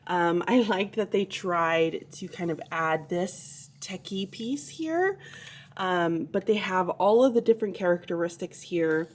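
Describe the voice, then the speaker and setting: A woman's voice: fairly low pitch, lively intonation, unhurried. Tired chatty woman teacher vlogging. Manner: chatty, with frequent ums. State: tired, sleepy voice. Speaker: fourth grade teacher. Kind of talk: casual vlog talk.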